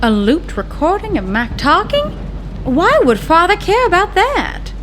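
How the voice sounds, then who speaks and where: A woman's voice: very high-pitched, huge pitch swings, unhurried, noisy background, exaggerated voice. An actress playing a blunt, sarcastic young woman investigating her scientist father's lab, in old-time radio drama style. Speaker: scientist's daughter. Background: music.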